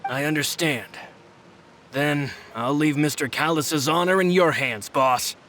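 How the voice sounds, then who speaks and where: A bright-voiced, loyal henchman. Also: fairly high pitch, a little hiss or hum. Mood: serious, questioning. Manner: deferential, deliberate, formal. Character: loyal male subordinate bodyguard, earnest and measured. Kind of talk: game cutscene dialogue.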